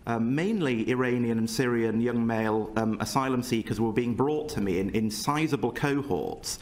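Halting man testifying, full of pauses. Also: mid-range voice. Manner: halting, full of ums and repetitions. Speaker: witness at a parliamentary committee. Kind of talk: hesitant testimony. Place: committee room.

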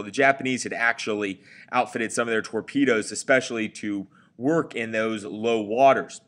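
A man's voice: mid-range voice, a bit bright. A man giving a recorded history lecture over slides, talking conversationally with frequent fillers and self-corrections. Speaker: teacher.

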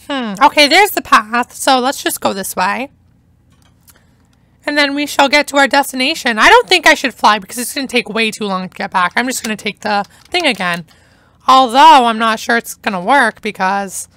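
Young woman, hoarse, chatty let's-play host; high, bright voice, dry close sound. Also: dry recording. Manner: chatty, enthusiastic, rambling. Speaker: gameplay narrator. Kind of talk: casual let's-play commentary.

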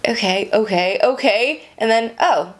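A woman in her thirties chatting casually to camera about books she has read, animated and talking with her hands, recorded indoors on a camera in front of her. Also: a little high, measured pace. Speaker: book vlogger. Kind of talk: casual book review chat. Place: small indoor room, seated at camera.

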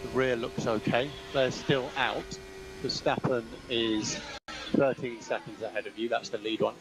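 A man's voice: mid-range voice, level tone, a little slow, noisy background. A man working as a race engineer, giving clipped instructions over team radio and repeating them.

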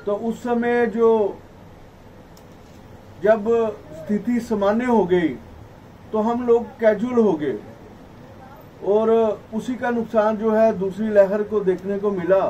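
A middle-aged man gives a formal, halting press conference statement as a federation spokesman, his voice slightly muffled by a mask. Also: high voice, warm tone. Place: small indoor office at a press conference. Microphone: cluster of table microphones, camera at some distance. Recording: distant mic, noisy room ambience.

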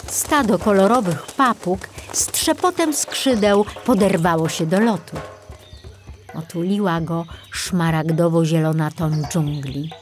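Female children's audiobook narrator, slightly low pitch, unhurried scripted delivery over music.

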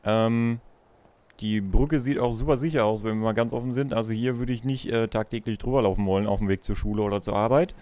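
A man's voice: lowish voice, fairly even intonation, fairly quick, darkish tone. A young man giving casual let's play commentary, chatting and thinking aloud as he goes. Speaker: let's player.

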